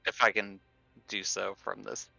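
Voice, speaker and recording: A man playing a tabletop game, talking casually in a measured, polite manner. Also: lowish voice, little pitch movement, creaky, sharp tone, rough recording. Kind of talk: considered casual talk. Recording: music playing underneath. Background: music.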